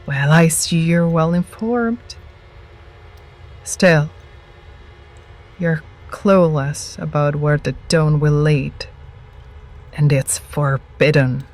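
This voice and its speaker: A woman's voice: deep voice, darkish tone, poor audio. A woman playing an ancient, starved vampire witch in an audio drama, cruel and mocking, with theatrical menace. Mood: ominous warning. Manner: slow, taunting, theatrical menace.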